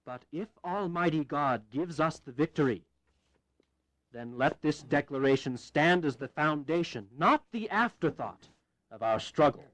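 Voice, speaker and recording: A fervent, resolute revolutionary statesman in a dramatized history. His voice is a little high for a man, set in a very dry recording. He declaims in a firm, measured way, building his case like a formal orator. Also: lively intonation, a bit bright, dry recording, rough recording. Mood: fervent, solemn.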